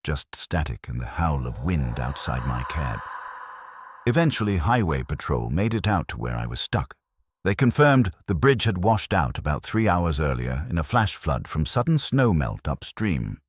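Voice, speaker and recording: Male horror narrator, extremely low voice with lively intonation, dramatic and suspenseful scripted storytelling. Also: moderate pace, slightly rough, no room sound. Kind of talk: scripted first-person narration of trucker horror tales.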